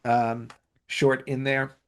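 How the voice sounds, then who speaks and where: A man's voice: medium pitch, little pitch movement, slow pace, smooth. Casual man hosting a podcast. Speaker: podcast host. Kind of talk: casual chat, countdown commentary.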